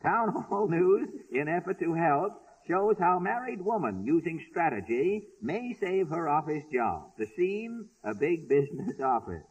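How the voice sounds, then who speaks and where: A man's voice: fairly high pitch, sing-song, a little slow, hardly any pauses, husky. A man announcing mock newsreel headlines on 1930s radio in a clipped, declamatory manner. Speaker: comic radio news announcer. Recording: muffled old 1930s radio recording.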